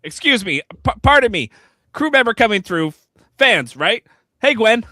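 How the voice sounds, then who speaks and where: A man playing an eager, nervous bit-part actor who works as a convention emcee, animated and stammering in a live radio-theatre script read. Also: high voice, moderate pace, dry recording, full character voice. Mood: pushy.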